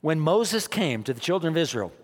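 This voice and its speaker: A man preaching, his voice somewhat high for a man. He drives his points home by repeating them, dropping to near quiet and then rising again. A long reverb from the hall hangs on his words. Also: big pitch swings, brisk. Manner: emphatic, repeats phrases, rises and drops in loudness. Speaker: pastor. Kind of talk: sermon.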